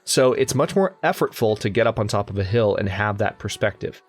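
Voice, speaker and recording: A man's voice: lowish voice, lively intonation, a little fast, slightly rough. A young man casually commenting on a strategy game as he plays, thinking aloud and often correcting himself. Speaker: let's play host. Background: faint game music.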